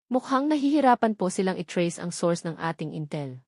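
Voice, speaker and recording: A woman's voice: lowish voice, very animated, fairly quick, a little mellow, no echo, studio quality. A woman with a Filipino accent voicing a deferential office assistant in an audio drama, formal and respectful. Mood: businesslike.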